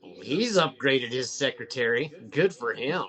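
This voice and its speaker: A man's voice: mid-range voice, animated, normal speed, pushed and bright, faint music behind. A man reading the part of a bossy, leering older men's-club member at a film table read. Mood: gruffly casual.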